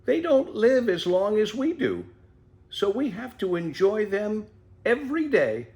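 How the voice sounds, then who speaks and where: A man voicing a wise, gentle, very old mother tortoise in a children's storybook. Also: sits high, sing-song, measured pace, voice pushed up, heavily acted. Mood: gentle, consoling.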